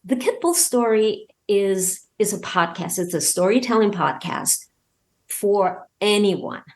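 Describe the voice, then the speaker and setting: A woman's voice: medium pitch, lively intonation, slow pace. A woman guest chatting casually in an interview about her own creative work, acting out bits of dialogue as she tells it. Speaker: guest, audio drama podcast creator.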